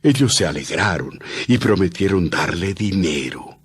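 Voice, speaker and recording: A man narrating scripture in a measured, solemn storytelling manner for an audio drama. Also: sits low, lively intonation.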